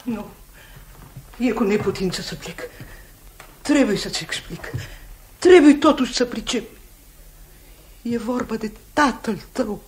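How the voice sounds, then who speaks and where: An actress in a 1950s radio drama playing an older aunt who talks stern and emotional, dropping into pleading whispers. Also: female voice, fairly high pitch, pauses often, a little mellow, slight background noise, close to an everyday voice.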